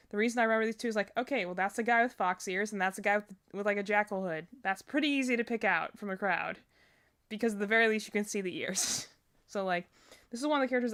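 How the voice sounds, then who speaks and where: Female game streamer, mid-pitched, casual and rambling, thinking out loud as she reacts. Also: smooth. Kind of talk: casual livestream chat.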